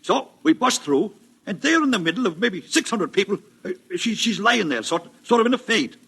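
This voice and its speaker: A flustered, deferential policeman in a vintage radio drama. His voice is high, sharp and raspy. He talks in a folksy, stammering way with big swings of pitch. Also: very animated, moderate pace, exaggerated voice. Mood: excited, insistent. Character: flustered, deferential big male beat cop. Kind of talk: old-time radio drama acting.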